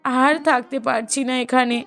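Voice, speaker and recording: A woman voicing an elderly widowed mother in a moral-story cartoon, lamenting slowly and plaintively for her late husband. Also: high-pitched, flat delivery, vocal fry, fairly bright tone, soft background music. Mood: sorrowful, weary. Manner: slow, plaintive, drawn-out lamenting.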